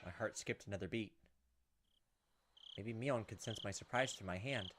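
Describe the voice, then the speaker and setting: A man's voice: on the low side, normal speed, background noise. A man hosting a gaming video, reading visual novel text aloud and adding his own commentary. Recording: game music and insect sounds behind.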